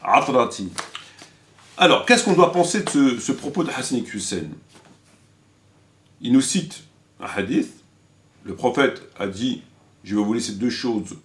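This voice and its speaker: A man giving an informal spoken critique to viewers, halting and repetitive as he searches for words, with an indignant, emphatic edge. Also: lowish voice. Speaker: religious commentator and video presenter.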